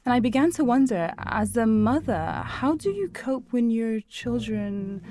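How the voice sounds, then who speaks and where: A woman's voice: fairly high pitch, big pitch swings, measured pace, dark tone. A middle-aged woman, a psychologist, speaking reflectively as she is interviewed. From Syria.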